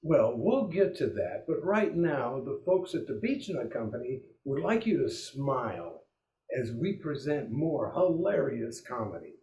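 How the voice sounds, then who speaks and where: A man's voice: mid-range voice, big pitch swings, measured pace, dark tone, reverberant, rough recording. A man playing a genial 1940s radio variety show announcer, in period radio acting style. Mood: teasing, presenting.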